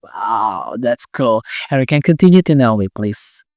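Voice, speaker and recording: An upbeat man hosts a podcast and guides his guests through questions in a friendly presenter's manner. His voice sits in a middle pitch and is recorded close and dry. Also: animated, unhurried, a little mellow, dry recording. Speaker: podcast host. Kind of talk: podcast interview hosting.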